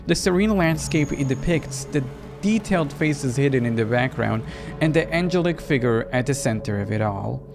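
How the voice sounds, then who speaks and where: An eerie male art dealer from a horror visual novel, acted out by a man. He speaks in formal, slow, theatrical phrases, reverent and mysterious. Clear music plays behind him. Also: medium pitch, expressive.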